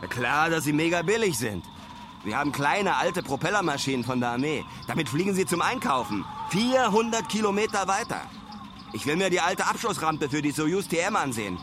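An actor playing a brash, excitable young male traveller who keeps a slangy spoken diary in clipped phrases, in a radio drama. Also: a little high, brisk, background noise. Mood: excited, fascinated.

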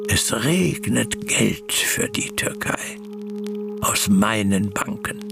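A slow, gravelly, grandiose tycoon schemer. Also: male voice, lowish voice, animated, exaggerated voice. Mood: grandiose, dreamy. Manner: grandiose, slow, savouring delivery. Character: boastful self-made socialist tycoon and schemer, a man. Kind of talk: German radio play monologue. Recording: radio drama voice over loud music.

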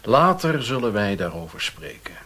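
An actor playing a controlling boss of an opium house in a radio play, measured, courteous and commanding. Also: male voice, on the low side, big pitch swings, sharp tone, breathy edge, full character voice. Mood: controlled, sly. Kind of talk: radio drama acting.

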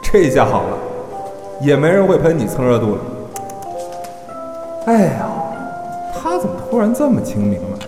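Man acting nagging talent agent, echoing, animated. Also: mid-range voice, moderate pace, pauses often, music in the background. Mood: surprised, pleased. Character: brisk, exasperated male talent agent, nagging but supportive. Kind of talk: Chinese audio drama acting.

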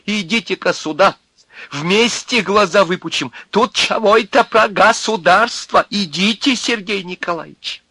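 A man voicing a furious eccentric in a dramatized story, shouting and sarcastic, ranting with spiteful, defiant glee. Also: high voice, a little slow, slightly rough, dry recording, pitched above the performer's own voice, heavily acted.

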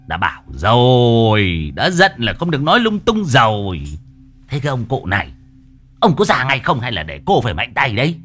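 A man's voice: mid-range voice, huge pitch swings, normal speed, fairly bright tone, soft background music. A man voicing a stern, bossy female spirit medium who scolds a possessing ghost. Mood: scolding. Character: stern middle-aged village spirit medium woman.

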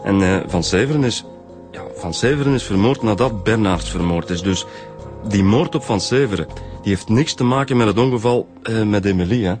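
An actor playing an easygoing, slightly evasive homicide detective, explaining hesitantly and colloquially with a Flemish accent in a radio drama. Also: male voice, on the low side, brisk, a little mellow, lightly acted. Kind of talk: naturalistic radio drama dialogue. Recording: radio drama with music bed.

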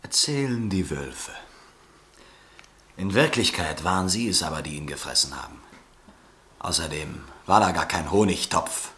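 A tense, fearful swindler. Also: male voice, low voice, animated, normal speed, long pauses, sharp tone, slightly rough, exaggerated voice. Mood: defensive. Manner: curt and defensive in dialogue, brooding in narration. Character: hunted male American swindler, tense and fearful. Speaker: first-person narrator. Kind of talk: radio play acting and narration.

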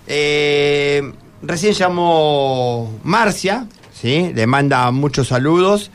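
A man with an Argentine accent hosting a radio show, talking informally and a bit haltingly as he interviews students. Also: on the high side, clean tone. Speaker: radio host. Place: radio studio. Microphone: studio microphone.

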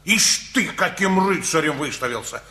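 An actor playing a bossy middle-aged uncle in a radio comedy, blunt, mocking and sarcastic. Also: male voice, fairly high pitch, animated, moderate pace, sharp tone. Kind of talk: Russian radio comedy play acting.

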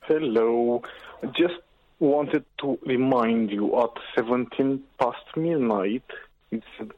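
A man's voice: medium pitch, slow pace, dry recording. A man with a Romanian accent calling in to a radio show, polite and earnest. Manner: polite, earnest, then apologetic. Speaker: caller. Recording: dull phone-line sound.

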